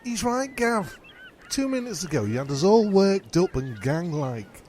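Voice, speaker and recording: Man playing mild castaway, animated, slightly high. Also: a little slow, slight background noise. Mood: plaintive. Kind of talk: comedic radio play acting.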